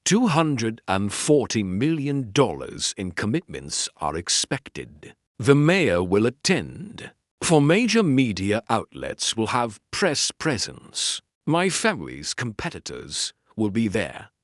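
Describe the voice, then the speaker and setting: Cold calculating mafia boss, acted by a male narrator, low, slow, precise, clipped, audiobook drama. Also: wildly swooping pitch, no room sound, studio quality, heavily acted. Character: cold, calculating Korean crime boss in his thirties. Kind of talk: audiobook character dialogue.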